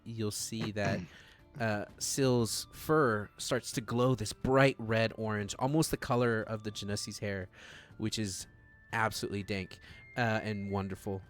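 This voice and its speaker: A man's voice: lowish voice, fairly even intonation, measured pace, hardly any pauses, soft background music. A man acting as game master, improvising narration and chatting casually and jokily with players on a stream. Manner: informal, jokey, slangy.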